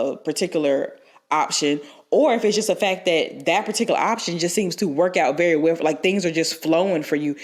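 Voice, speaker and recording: A woman's voice: low voice, a little mellow. A young woman co-hosting a podcast, stuffed up from a cold, rambling through advice with many restarts and fillers. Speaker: podcast co-host. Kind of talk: casual advice chat.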